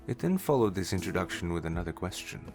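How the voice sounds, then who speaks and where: Male narrator, very low voice, scripted sci-fi storytelling, faint music bed. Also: very animated, quick pace, a little mellow. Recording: music under the voice.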